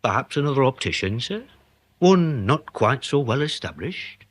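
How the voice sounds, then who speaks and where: English police sergeant, very polite, dry and pedantic, lilting up and down, radio mystery. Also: male voice, mid-range voice, moderate pace. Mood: deadpan, probing. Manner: deferential, pedantic, deadpan. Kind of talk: British radio comedy-mystery drama acting.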